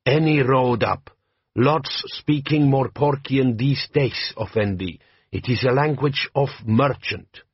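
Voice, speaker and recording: A man voicing a hearty, shrewd desert bandit chief who speaks slow, broken English. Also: medium pitch, husky, no room sound, dull audio, pitched above the performer's own voice.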